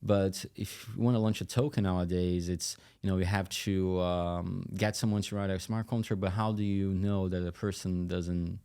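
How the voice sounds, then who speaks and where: A man explains things in a halting, thoughtful way as a guest in a conversational interview. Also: sits low, fairly even intonation, mellow, smooth. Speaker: podcast guest, tech company chief executive.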